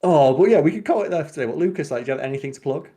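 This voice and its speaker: A man's voice: a little high, expressive, very fast, dark tone, breathy edge. A man hosting a podcast in casual chat, with a sweary, opinionated way of talking. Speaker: podcast host.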